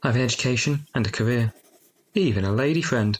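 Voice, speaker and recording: A man playing a grown man recounting his youth as an audio drama narrator, measured and reflective. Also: mid-range voice, a little hiss or hum, natural voice. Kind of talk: dramatised first-person narration. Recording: faint music behind.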